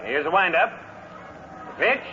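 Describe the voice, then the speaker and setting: An actor playing an excitable, folksy baseball radio announcer calling play-by-play in a dramatic, suspenseful way. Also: male voice, a little high, takes it slowly. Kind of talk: old-time baseball play-by-play commentary. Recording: muffled noisy radio broadcast, crowd. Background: ballpark crowd.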